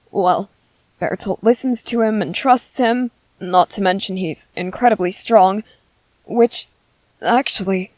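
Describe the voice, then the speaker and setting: A woman voicing a gentle, shrewd teenage boy soldier in an intimate ASMR roleplay, speaking thoughtfully and hesitantly, as if quietly plotting. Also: mid-range voice, no echo, lightly acted. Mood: analytical. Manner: hesitant, thoughtful, halting phrasing with soft ums. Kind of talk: ASMR character roleplay, intimate one-sided dialogue.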